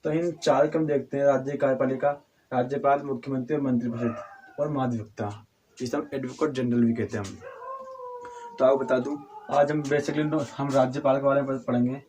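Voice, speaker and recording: A hoarse man with a cold teaching a civics lesson, halting and restarting often, asking questions and answering them himself. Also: mid-range voice, fairly even intonation, a little mellow, a little hiss or hum. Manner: halting, with frequent restarts and throat clearing; addresses viewers as friends, asks and answers own questions.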